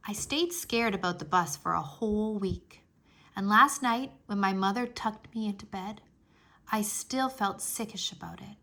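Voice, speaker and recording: A woman voicing an outspoken, worried kindergarten girl telling her own story in an expressive, childlike read-aloud. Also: mid-range voice, a little slow.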